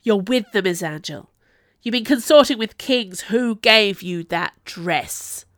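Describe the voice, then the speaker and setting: A woman acts out a deranged young aristocrat in a storybook tale. He bellows his accusations in a forceful, hoarsely breaking voice, full of rage. The sound is close and dry. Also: mid-range voice, expressive, unhurried, no room sound. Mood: howling accusing. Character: mad young nobleman, bellowing in pure rage.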